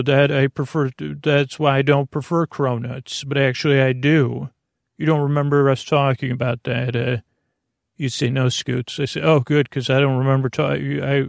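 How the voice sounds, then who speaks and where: A man hosting a sleep podcast in a casual, meandering monologue, halting and drifting off topic, with a gravelly creak in his voice. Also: a little high, no echo, clean studio sound. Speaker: podcast host. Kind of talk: rambling casual monologue to help listeners fall asleep.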